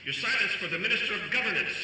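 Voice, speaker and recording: A man's voice: sits high, expressive, racing. A man playing an officious herald in a radio play, calling out ceremonial announcements to citizens. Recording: very echoey hall, distant, loud noise.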